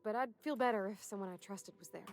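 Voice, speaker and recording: A woman's voice: mid-range voice, fast talker, close to an everyday voice. A woman playing an earnest, shy young ship's engineer in a video game, with folksy, hesitant phrasing. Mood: earnest, nervous. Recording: game audio under music.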